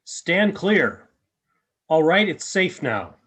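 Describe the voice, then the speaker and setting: A man acts out a resolute, protective alien guardian in a radio play. The voice is a little high for a man, and it delivers stern warnings in formal, measured pronouncements. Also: big pitch swings, fairly bright tone, full character voice. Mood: firm.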